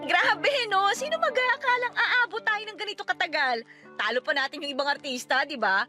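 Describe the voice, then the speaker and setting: A woman's voice: very high voice, expressive, brisk, music in the background. A woman with a Filipino accent playing a talkative, stubborn wife in her thirties in a radio drama, chatty and nagging. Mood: excited, chatty.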